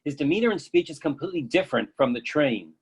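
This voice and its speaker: Male narrator for a play reading, slightly high voice, scripted stage directions. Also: expressive, fast talker, breathy edge.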